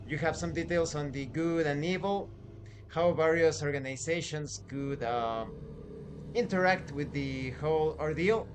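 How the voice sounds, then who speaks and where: A man giving an informal spoken review as a show host, explaining in a halting way with conversational asides. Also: a little high, slight background noise. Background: background music.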